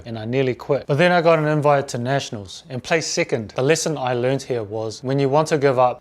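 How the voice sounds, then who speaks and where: A man in his forties from New Zealand with a New Zealand accent, a fitness coach giving a motivational speech in short, deliberate phrases. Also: medium pitch, darkish tone. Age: 40s.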